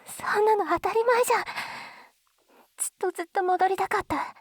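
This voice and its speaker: Woman acting a high-voiced, tender lovestruck schoolgirl. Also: dry recording, studio quality. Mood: emotional, longing. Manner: breathy, halting, often dropping into whispers. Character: lovestruck schoolgirl reunited from another world, shy and tender. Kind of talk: Japanese ASMR roleplay, intimate situation voice acting. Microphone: close binaural dummy-head microphone.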